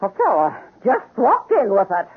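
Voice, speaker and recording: A woman's voice: mid-range voice, wildly swooping pitch, normal speed, heavy background noise. An actress in a 1970s radio drama voicing a sceptical, streetwise female pawnbroker with a blunt, unimpressed manner. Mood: furtive, businesslike. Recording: tinny, muffled old radio broadcast.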